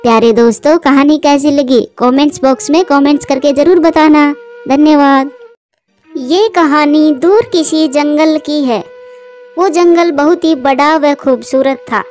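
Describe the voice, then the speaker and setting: A woman's voice: sits high, a little slow, a little mellow, faint music behind. A woman narrating a scripted children's animal fable as a storyteller.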